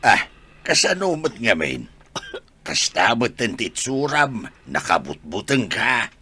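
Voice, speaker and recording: An actor plays a stern old Ilocano father in a radio play. His fairly low voice is husky and forceful, swinging widely in pitch as he disapproves. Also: big pitch swings, slow, full character voice. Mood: stern, reproachful. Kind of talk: Ilocano radio comedy drama.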